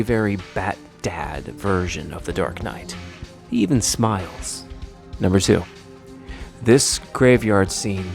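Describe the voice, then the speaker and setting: A man delivering scripted narration as the presenter of a video essay. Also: lowish voice.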